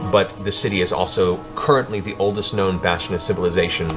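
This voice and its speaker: Low-voiced man narrating fantasy over music. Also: fairly even intonation, fast talker. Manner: descriptive, dramatic storytelling. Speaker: game master. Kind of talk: improvised tabletop fantasy narration.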